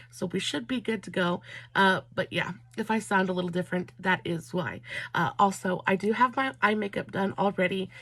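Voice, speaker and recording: Beauty vlogger, woman, mid-pitched, a bit hoarse from a cold, effusive and chatty, dry close sound. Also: no echo. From Southern United States. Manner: warm, effusive, drawn-out emphatic phrasing. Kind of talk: chatty makeup vlog with storytelling.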